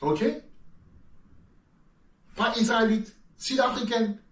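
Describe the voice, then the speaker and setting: A man's voice: very high-pitched, fairly even intonation, slow, a bit bright, reverberant, distant mic. A man born in France with his own London-tinged French accent, preaching a sermon and reading scripture, repeating words and stumbling into rising emphatic bursts. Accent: French with a London-influenced lilt.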